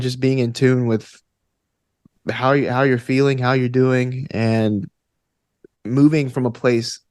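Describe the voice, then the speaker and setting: A man's voice: mid-range voice, dry recording. Earnest, encouraging man in close, dry conversation. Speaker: podcast guest, actor and game master. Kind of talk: casual, reflective interview conversation.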